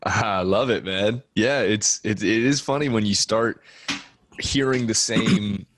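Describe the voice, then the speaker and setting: A male podcast host interviewing a guest in a relaxed, encouraging way. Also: lowish voice, a little slow, a little hiss or hum. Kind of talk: casual interview.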